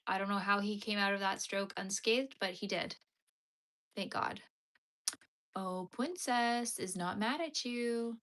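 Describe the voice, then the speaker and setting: A woman's voice: mid-range voice, a little slow, a bit bright. A young Canadian woman with a Canadian accent, chatting casually on a livestream, answering viewers' comments while she crochets.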